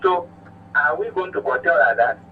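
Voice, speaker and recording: A man's voice: a little high, animated, fairly quick. A man with a Nigerian accent calling a radio show by telephone to give earnest political opinions. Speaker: phone-in caller. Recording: narrow tinny phone line, noisy hum.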